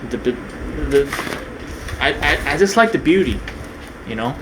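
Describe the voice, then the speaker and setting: A man's voice: mid-range voice, lively intonation, a little slow. Hesitant man with a background hum. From Korea, raised partly in New Zealand. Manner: hesitant, many fillers and restarts. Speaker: video creator. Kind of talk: casual unscripted monologue.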